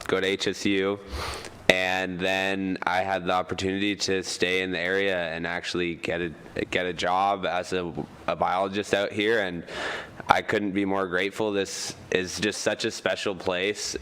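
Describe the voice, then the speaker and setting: A young man running for council speaks earnestly and eagerly at a candidate forum. His voice is very deep and stays flat in pitch. A faint room ambience runs beneath him. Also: a little slow, slight background noise.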